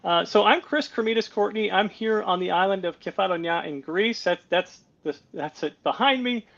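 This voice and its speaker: A middle-aged American man, a guest on a podcast, talking in a relaxed, self-deprecating way and often restarting his sentences over a video call. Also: high-pitched, normal speed, gravelly. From United States. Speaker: guest, policy advisor. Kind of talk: conversational interview. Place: home on a Greek island. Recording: dull lo-fi call audio.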